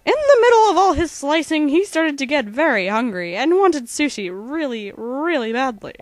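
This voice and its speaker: A woman's voice: high voice, very animated, moderate pace, no echo. A woman co-narrating a fan-made comic parody in a slangy, mock-dramatic storytelling style.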